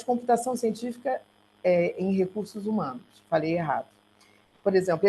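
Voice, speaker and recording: A woman with a Brazilian Portuguese accent gives a slide presentation on an online call, speaking haltingly and correcting herself as she goes. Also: medium pitch, darkish tone. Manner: halting, self-correcting delivery. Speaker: research centre coordinator giving a talk. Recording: noisy online call with hum.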